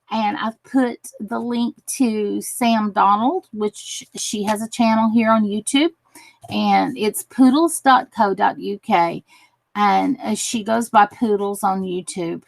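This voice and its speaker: A woman hosting a craft livestream in a chatty, giggly way, greeting viewers, her voice a little croaky. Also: medium pitch, a little hiss or hum, low-quality recording. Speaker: crafting channel host. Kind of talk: casual craft tutorial livestream.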